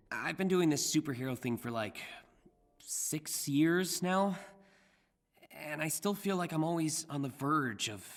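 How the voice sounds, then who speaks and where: A man's voice: medium pitch, fairly bright tone. An American man voicing an earnest, awkward young superhero in a video game, speaking in a hesitant, rambling way. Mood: earnest, searching. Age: young adult. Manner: hesitant, self-conscious, rambling.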